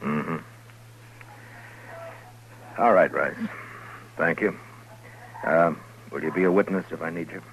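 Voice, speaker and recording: Deep-voiced, stern American frontier marshal. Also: male voice, slow pace, long pauses. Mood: businesslike. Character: stern, persistent frontier US marshal, weary but fair. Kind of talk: 1950s radio western acting. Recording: dull, noisy old radio recording.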